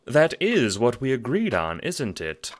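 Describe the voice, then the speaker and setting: A man voicing a calm, courteous, smugly sinister puppet-like host in an audio drama, speaking in a mannered, formal, condescending way. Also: medium pitch, a little slow, slight background noise, pitched above the performer's own voice. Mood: smug, dismissive. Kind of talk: theatrical audio drama narration.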